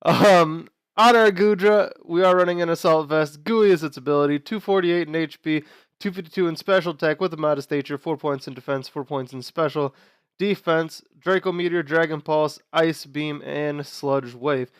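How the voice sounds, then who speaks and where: A young man with a slightly high voice, nasal and a bit subdued from being sick. He chats casually about his game team, with plenty of fillers and restarts, and his tone stays smooth and clear. The recording is dry and close to the mic. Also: moderate pace, dry recording. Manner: chatty, explains team choices with fillers and restarts. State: sick, nasal and quieter than usual. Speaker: gaming video host. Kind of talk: casual gameplay commentary.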